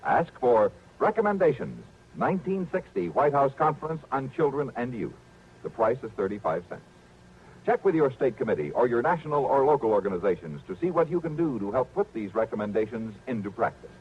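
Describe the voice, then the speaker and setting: Male announcer, American, mid pitch, very varied intonation, formal and emphatic, scripted vintage radio style. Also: sing-song, brisk, a little hiss or hum. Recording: muffled vintage radio recording.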